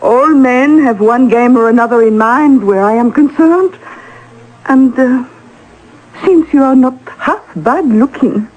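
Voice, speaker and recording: A grand, coquettish Parisian society lady from a radio play. Her voice is high and husky, heard through a muffled vintage broadcast. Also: a little slow, a little mellow. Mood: amused, seductive. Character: imperious, flirtatious French society woman, worldly art patron. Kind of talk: old-time radio acting.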